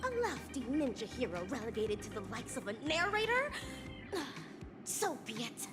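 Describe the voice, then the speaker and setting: A woman's voice: fairly high pitch, very animated, normal speed. Grandiose rhyming ninja girl. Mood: proud. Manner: grandiose, recites in rhyme. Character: aloof young female ninja, dramatic and rhyme-spouting. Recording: game audio with loud background music.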